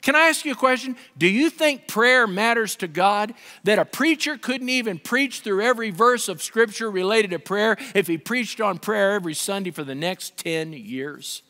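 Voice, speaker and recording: Pastor, high bright voice, animated preaching style, reverberant hall. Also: male voice, moderate pace, few pauses. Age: 50s. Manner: animated, gesturing preacher with wide swings in pitch and volume. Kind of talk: sermon. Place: large dark stage with a pulpit. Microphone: headset.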